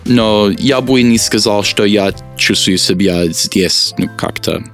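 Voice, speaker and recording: An American man, a teacher whose first language is English, answering interview questions in a halting way with many fillers. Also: on the low side, gravelly, fairly bright tone, faint music behind. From United States. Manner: hesitant, many fillers, searches for words. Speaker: guest, teacher.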